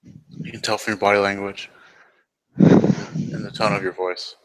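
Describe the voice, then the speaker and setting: A man playing a calm, guarded Fae in a tabletop roleplay, answering in short matter-of-fact phrases. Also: fairly low pitch, a little slow, lightly acted, rough recording.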